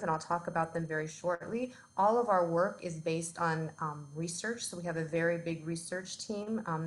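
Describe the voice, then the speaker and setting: Chatty lower-voiced woman speaker. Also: a little hiss or hum, poor audio. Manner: chatty, filler-heavy. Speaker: guest speaker. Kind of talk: presentation on a video call. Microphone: video call.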